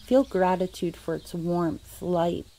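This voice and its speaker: A woman leading a guided meditation as host, speaking soothingly in short, deliberate phrases with long pauses. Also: fairly low pitch, mellow, slight background noise, low-quality recording. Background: music.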